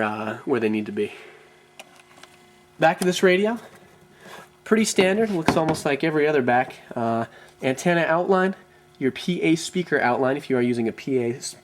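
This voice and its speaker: A man presenting an unscripted product walkthrough as a reviewer, often pausing with ums and repeating words as he goes. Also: mid-range voice.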